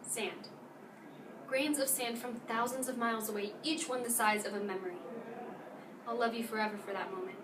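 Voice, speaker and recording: A woman's voice: a little high, steady tone, normal speed, natural voice. A woman playing a wistful, proudly independent former lover in a reflective, emotional dramatic monologue. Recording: roomy, distant mic, loud background noise.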